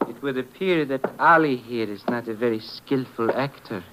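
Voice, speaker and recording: A man acting a cool, menacing villain with formal, measured speech in a 1950s radio drama. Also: mid-range voice, fairly bright tone, natural voice. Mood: cool menace. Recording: faintly noisy vintage 1950s radio recording.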